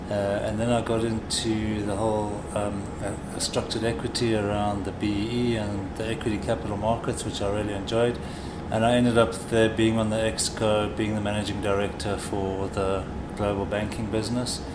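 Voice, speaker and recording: Low-voiced middle-aged South African man. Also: level tone, normal speed, hardly any pauses, noisy background. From South Africa, grew up in Durban. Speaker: interview guest, corporate chief executive. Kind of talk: conversational interview answers.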